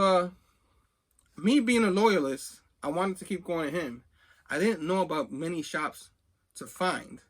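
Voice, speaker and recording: A man's voice: on the high side, fairly bright tone. A middle-aged man telling a personal story casually, rambling conversationally and acting out bits of remembered dialogue. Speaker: host of a comics collecting video channel.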